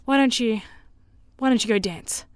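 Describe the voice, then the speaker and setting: A woman voicing a middle-aged father who speaks quietly and curtly. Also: fairly high pitch, normal speed, smooth, dry recording, lightly acted. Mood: awkward. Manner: quiet, curt.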